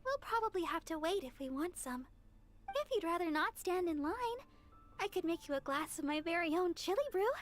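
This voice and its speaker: A woman's voice: very high-pitched, animated, normal speed. A woman playing a sweet, eager, polite young female church idol in an anime video game, speaking courteously and earnestly. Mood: chatty, inviting. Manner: courteous, earnest, gently bubbly delivery. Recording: game music behind the voice. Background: game music.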